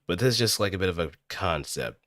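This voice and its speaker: A man streaming casually, thinking aloud in a halting, musing way as he works. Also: deep voice, expressive, fairly bright tone, dry recording, clean studio sound.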